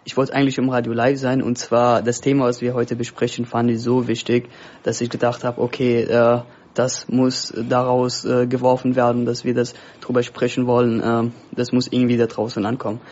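A man from Afghanistan, nervous and excited on his first live radio appearance, speaking with many fillers. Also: medium pitch, moderate pace. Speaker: guest presenter. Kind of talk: casual radio talk. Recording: dull lo-fi radio sound.

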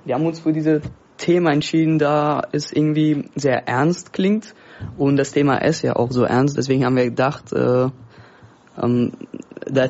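A man from Afghanistan, nervous and excited on his first live radio appearance, speaking with many fillers. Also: medium pitch. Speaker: guest presenter. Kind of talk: casual radio talk. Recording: dull lo-fi radio sound.

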